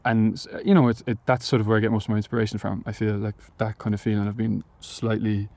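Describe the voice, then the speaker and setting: Hesitant young Irish man, low voice. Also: level tone, fast talker, vocal fry, a little mellow, no room sound. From Ireland, Galway. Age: young adult. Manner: hesitant, many fillers and restarts. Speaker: musician guest. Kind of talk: casual interview answers.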